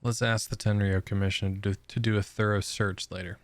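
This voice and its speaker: A man's voice: on the low side, little pitch movement, fairly quick, no echo. A man hosting a gaming video, casually commenting and reading game text aloud as he plays. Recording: game music behind the voice.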